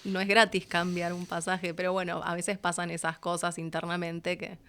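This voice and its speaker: A woman with a Rioplatense Argentine accent telling stories casually as an interview guest, expressive and colloquial. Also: on the low side, normal speed. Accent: Rioplatense Argentine Spanish. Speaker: voice actress and announcer. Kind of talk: casual conversational storytelling.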